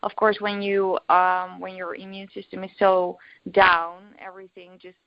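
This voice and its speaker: A woman's voice: medium pitch, little pitch movement, moderate pace, no echo. Dutch-accented young woman chatting as a guest, dry close sound, kinda dull lo-fi audio. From Netherlands. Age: young adult. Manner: open, rambling, many fillers and restarts. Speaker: guest, recovery coach. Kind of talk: podcast interview.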